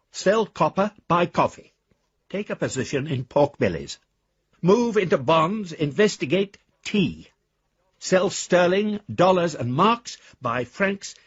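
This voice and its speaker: An actor playing a half-mad, disillusioned rich speculator in a radio drama, husky and theatrical, with florid, emphatic delivery. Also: male voice, on the high side, no room sound, muffled recording, full character voice. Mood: urgent, commanding. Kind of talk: dramatic radio monologue, letters read aloud.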